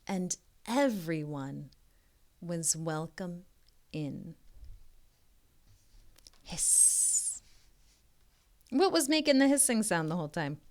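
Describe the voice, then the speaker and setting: Slow-paced woman reading aloud to children. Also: lowish voice. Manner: expressive, deliberate reading with hushed phrases; informal, encouraging asides addressed to children. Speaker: reading tutor and storybook reader. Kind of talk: modeled children's read-aloud with chatty asides.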